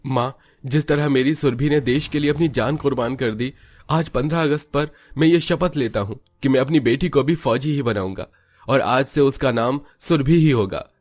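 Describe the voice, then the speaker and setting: A man's voice: mid-range voice, moderate pace, darkish tone, natural voice. A man voicing an earnest, devoted young husband and son in a scripted Hindi cartoon moral story. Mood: solemn, proud.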